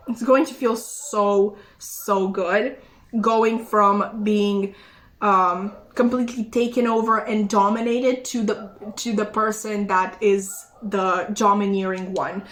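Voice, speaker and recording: A woman's voice: a little high, poor audio. A young woman giving a casual, rambling tarot reading to her viewers, with lots of fillers and giggles. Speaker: tarot reader.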